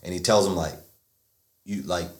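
Brief: man, low voice, loose storyteller, roomy echo. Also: fairly even intonation, normal speed, darkish tone. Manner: rambling storytelling with fillers. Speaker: podcast guest. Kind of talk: casual chat. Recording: echoey room.

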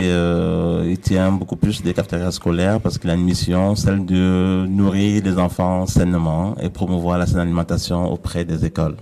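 Low, mellow-voiced man as panel guest. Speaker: panel guest, chef and entrepreneur. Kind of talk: measured self-introduction. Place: studio.

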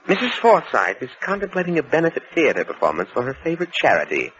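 Smooth, sharp-voiced radio crime villain. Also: male voice, on the high side, huge pitch swings, fast talker. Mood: cold, threatening. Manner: menacing, mocking, wordy. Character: smooth, cold-blooded male racketeer and blackmailer. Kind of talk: old-time radio crime drama acting. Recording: muffled vintage radio recording.

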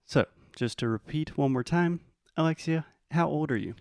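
An American man teaching English in a bilingual lesson, explaining patiently and modeling phrases slowly. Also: on the high side, gravelly, darkish tone, dry recording, clean studio sound. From United States.

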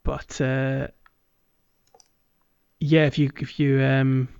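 A man co-hosting a podcast, talking casually and haltingly as he explains things, with the odd chuckle. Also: mid-range voice, little pitch movement, vocal fry, a little mellow, smooth, dry recording. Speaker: podcast co-host. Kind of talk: casual game-walkthrough podcast chat. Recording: dull, lo-fi, dry close recording.

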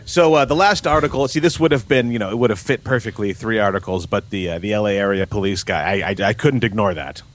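A man's voice: lowish voice, quick pace, few pauses. A man co-hosting a casual podcast, reading news stories with wry, joking commentary. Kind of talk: casual comedic news chat.

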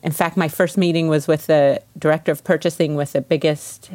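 This is a woman with a distinctly low-pitched voice. She talks casually and informally, like an interview guest recounting her own story. The sound is dry, with hardly any room echo. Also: steady tone, a little fast, darkish tone. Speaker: interview guest, baker and business owner.

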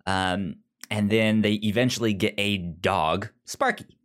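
A man with a lowish voice talks casually as a podcast co-host. He speaks slowly and haltingly, often repeating the first sound of a word before getting it out. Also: a bit bright. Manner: halting, frequently stammers and repeats word starts. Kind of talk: casual comic book discussion.

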